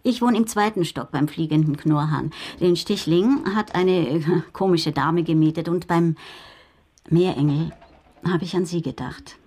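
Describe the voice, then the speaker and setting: A woman's voice: lowish voice, a little slow, warm tone, breathy edge. An actress playing an eccentric, self-assured woman scientist with a wry, teasing manner in a radio drama. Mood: wry, brisk. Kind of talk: radio crime drama dialogue.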